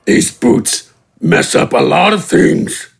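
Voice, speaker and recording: A man voicing a gruff, menacing giant brawler with a gravelly, husky voice, speaking slowly and threateningly in an audiobook. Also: soft background music, lightly acted. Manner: slow, threatening, deliberate.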